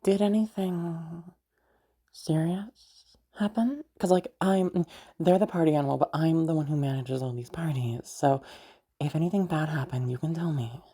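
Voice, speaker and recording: A low, mellow voice, a woman acting it out as a caring, slightly witchy party host in an audio roleplay. She is soft and husky, speaking straight to the listener with teasing playfulness and rambling, soothing reassurance. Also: moderate pace. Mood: concerned, protective.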